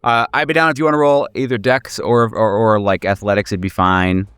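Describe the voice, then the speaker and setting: A man narrating a tabletop game as game master in a casual, halting, wryly playful way, often searching for words. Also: on the low side, fairly even intonation, a bit bright, clear, resonant tone, no echo. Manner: wry, playful, slangy.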